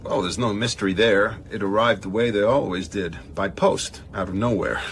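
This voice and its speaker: An actor playing an urbane middle-aged literary agent in a radio drama, speaking precisely with dry, slightly haughty wryness. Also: male voice, on the low side, moderate pace, a little hiss or hum, lightly acted. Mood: wry, assured. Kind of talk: naturalistic radio drama acting.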